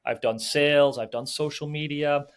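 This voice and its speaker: A man's voice: on the high side, fairly even intonation, normal speed. Chatty Belgian-raised man with many fillers. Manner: loose and conversational, many fillers and restarts. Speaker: film producer and sales agent, guest. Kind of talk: casual podcast interview.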